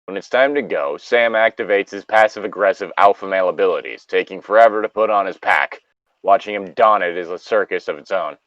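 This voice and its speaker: Low-voiced man reading and riffing casually. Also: fairly quick, fairly bright tone, dry recording, muffled recording. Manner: casual, joking, rambling asides. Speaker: reader and commentator of online stories. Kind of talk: reading a story aloud with casual, profane commentary.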